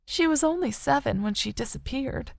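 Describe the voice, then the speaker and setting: A woman reader performs an older mother in an audiobook novel, haunted by loss but clinging to hope. Her voice is lifted high and soft, with some creak in it. She speaks tenderly and sorrowfully, in a close, dry recording. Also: expressive, normal speed, no room sound. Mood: haunted, sad. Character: grieving older mother, wistful, haunted, still hopeful.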